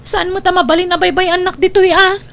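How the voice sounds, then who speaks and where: Woman acting a high-voiced, demanding young wife. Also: steady tone, fast, a bit bright. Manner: insistent, complaining. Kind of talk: family radio drama acting.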